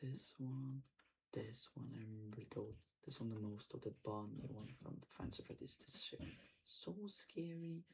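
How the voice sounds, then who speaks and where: A man's voice: lowish voice, normal speed, gravelly, slight background noise. A man giving casual reaction commentary in loose, informal, repetitive remarks. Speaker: reaction video creator.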